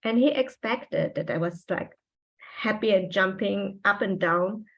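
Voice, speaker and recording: A woman in her forties, a native German speaker talking in English as a podcast guest, reflective and halting with many pauses and fillers. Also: medium pitch, gravelly, a bit bright, dull audio. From Austria and Taiwan. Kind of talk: personal interview conversation.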